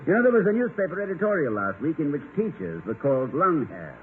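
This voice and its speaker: An actor playing an erudite, flustered professor husband in 1950s radio comedy, wordy and stammering with exasperated politeness. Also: male voice, on the high side, very animated, quick pace. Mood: wry. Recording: tinny, noisy 1950 radio recording.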